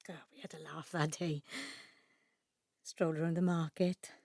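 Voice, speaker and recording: Low-voiced, guarded Welsh daughter. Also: female voice, little pitch movement, normal speed, dark tone, dry recording, studio quality. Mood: wistful, upset. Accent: South Wales Welsh. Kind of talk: naturalistic audio drama acting.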